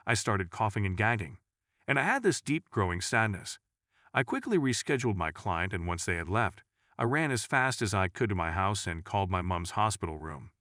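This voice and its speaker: A man's voice: lowish voice, fairly bright tone, dry recording, studio quality. A man reading scripted narration of true stories as a storyteller.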